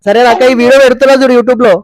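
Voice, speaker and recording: A man's voice: very high-pitched, fairly even intonation, racing, crowd noise. A young man in a casual, familiar phone chat as a prank caller, mixing in English words. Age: young adult.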